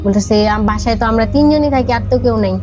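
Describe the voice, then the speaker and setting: Young woman, Bangladeshi accent, higher pitch, conversational storytelling, podcast guest, background music. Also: fast talker. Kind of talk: conversational personal ghost-story account. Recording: music playing behind the voice.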